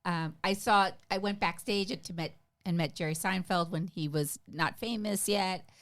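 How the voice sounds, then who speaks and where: A woman's voice: lowish voice, moderate pace, a bit bright. A middle-aged woman telling stories casually as a podcast guest, chatty and emphatic with an enthusiastic way of talking. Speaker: podcast guest, stand-up comedian. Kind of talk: casual conversational storytelling.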